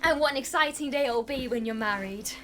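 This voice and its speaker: A woman's voice: fairly high pitch, a little fast, music in the background, close to an everyday voice. A woman playing a deferential, consoling maid in a period radio drama. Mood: soothing. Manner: deferential, soothing.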